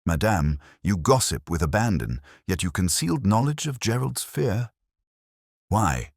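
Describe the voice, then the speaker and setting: A man's voice: fairly low pitch, sing-song, measured pace, husky, dry recording, clean studio sound, voice pushed up, exaggerated voice. A man voicing an imperious, shrewd detective in an audiobook, with formal, clipped, commanding pronouncements. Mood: stern, probing. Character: imperious, shrewd male detective, coldly judging.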